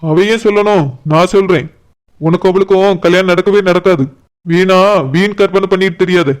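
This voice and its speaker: Man playing a high, clear, defiant young servant. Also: fairly even intonation, normal speed, natural voice. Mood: defiant, taunting. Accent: Kanyakumari Tamil. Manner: taunting, firm. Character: defiant, unafraid young male house servant. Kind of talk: village cartoon drama acting.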